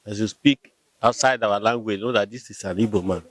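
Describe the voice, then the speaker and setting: A man's voice: fairly low pitch, brisk. A Nigerian man answering interview questions about his community, hesitant, often repeating words while explaining patiently. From Nigeria, Rivers State. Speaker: local community member interviewed. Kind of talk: conversational answers.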